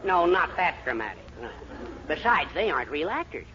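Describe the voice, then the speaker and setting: An actor playing a fussy, henpecked middle-aged American husband and eager schemer, blustering and hesitant in 1940s radio comedy style. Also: male voice, fairly high pitch, moderate pace. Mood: eager scheming. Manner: excitable, blustering, hesitant stammers. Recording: dull, noisy 1940s radio recording.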